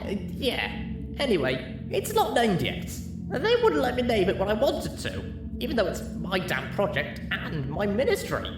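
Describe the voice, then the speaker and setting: A woman's voice: mid-range voice, wildly swooping pitch, normal speed. A woman playing a brash, boastful female pegasus pony in an audio drama, eager and a bit sheepish. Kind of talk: audio drama character acting. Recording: roomy, distant, with music.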